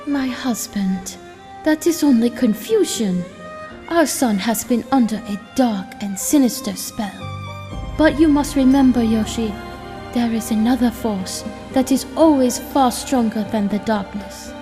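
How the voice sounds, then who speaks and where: A woman playing a serene, loving spirit wife in an audio comic drama, speaking gently and formally. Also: on the high side, faint music behind, close to an everyday voice. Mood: tender, reassuring. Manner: formal, gentle, measured speech.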